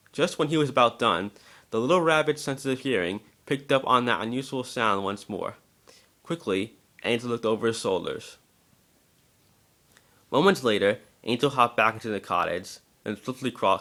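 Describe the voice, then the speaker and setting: A man with a bright, forceful voice, pitched slightly low, narrates a story. It is an amateur dramatic reading with the odd stumble over a word.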